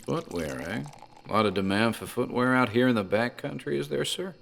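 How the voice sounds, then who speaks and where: A man playing a talkative country tavern landlord in a horror audio drama, deliberate, teasing and deferential in his storytelling. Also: mid-range voice, lively intonation, gravelly, a bit bright, slight background noise. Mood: dryly courteous. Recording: music under the voice.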